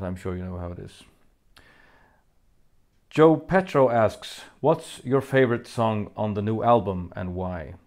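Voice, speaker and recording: Faroese-born man, native Faroese speaker, deep gravelly voice, loose halting fan Q&A. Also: a little mellow. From Faroe Islands. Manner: halting, many fillers and restarts, reads questions aloud. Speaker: musician answering fan questions. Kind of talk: casual Q&A monologue.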